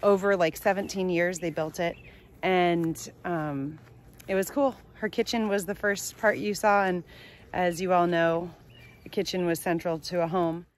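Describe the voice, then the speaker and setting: Woman in her forties, upbeat casual vlog, phone at arm's length, outdoors, lowish pitch. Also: fairly even intonation, measured pace. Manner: chatty, upbeat, many fillers. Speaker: vlog host. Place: outdoors on a sunny farm lawn.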